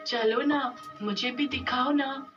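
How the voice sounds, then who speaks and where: A woman voicing an excitable little girl, childlike, wheedling and gushing, in an animated story. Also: high-pitched, slow, distant mic, highs cut off. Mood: pleading, eager. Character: excitable little sister, pleading and delighted. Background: music.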